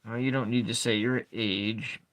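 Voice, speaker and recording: A man with a calm voice chatting softly with viewers while he draws and colors tarot cards, trailing off and restarting as he works. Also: normal speed. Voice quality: calm speaking voice. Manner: quiet, low-key chatting with viewers. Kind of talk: soft-spoken ASMR art livestream chat.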